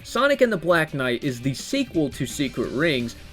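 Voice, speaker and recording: Casting: young male game reviewer, lightish pitch, very lively inflection, informal and playful, enthusiastic video essay delivery. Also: moderate pace. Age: young adult. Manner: animated, informal, slangy, playful asides. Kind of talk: enthusiastic video essay commentary, casual and scripted. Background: background music.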